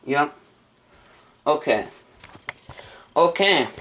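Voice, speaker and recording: A man chatting casually and haltingly as he unboxes a gadget for a video, partly talking to himself. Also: a little high, expressive, fairly bright tone. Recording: clear background noise, crinkling and clicks. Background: handling noise, crumpling and clicks.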